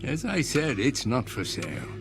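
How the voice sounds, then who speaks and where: Lilting, genteel old inventor. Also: male voice, mid-range voice, huge pitch swings, creaky, music in the background. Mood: quietly insistent. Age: older. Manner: measured, formal, professorial phrasing. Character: eccentric old male tinkerer-inventor, genteel, cryptic, patiently persuasive. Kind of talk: radio drama acting.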